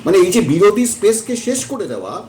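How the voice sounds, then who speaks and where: A high-pitched male panel commentator with a West Bengal Bengali accent. He explains and rephrases as he goes, his voice sounding roomy and echoing. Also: big pitch swings, brisk, mellow. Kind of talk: political debate commentary.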